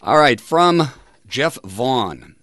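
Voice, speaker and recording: A middle-aged man hosting talk radio in a measured, deliberate way, sometimes reading tributes aloud. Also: medium pitch, animated, fairly bright tone, no room sound, studio quality. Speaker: radio show host.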